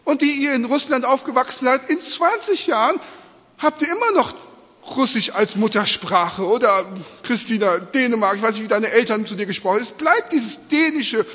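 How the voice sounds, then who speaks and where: A man's voice: very high-pitched, animated, normal speed, sharp tone. A man whose native language is Spanish, preaching informally and conversationally in German, engaged and full of rhetorical questions and check-ins. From Australia-born, mother from Peru, living in Germany. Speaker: preacher addressing a congregation. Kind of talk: informal, conversational sermon in simple words. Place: large echoing indoor hall, speaking to an audience. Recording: reverberant hall.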